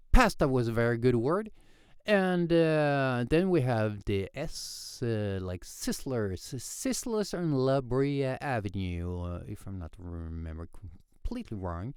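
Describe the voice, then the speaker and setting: A man's voice: mid-range voice, very animated, a little slow, clear, resonant tone, no echo, clean studio sound. A young man with a Swedish accent, his first language Swedish, casually narrating a home microphone test with lots of fillers and restarts. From Sweden. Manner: rambling, many fillers and restarts. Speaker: home studio hobbyist testing microphones. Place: home recording setup. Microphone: headset and studio condenser microphone.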